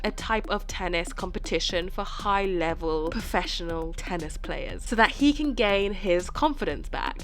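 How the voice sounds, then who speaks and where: A woman with a slightly hoarse voice from a cold, narrating a scripted film video essay with wry, playful asides. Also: mid-range voice, fairly bright tone.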